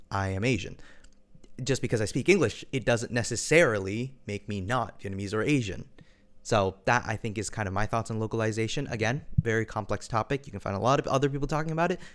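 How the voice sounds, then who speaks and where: A man giving an opinion monologue as an online video commentator, measured and hedging his points with frequent personal qualifiers. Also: fairly low pitch.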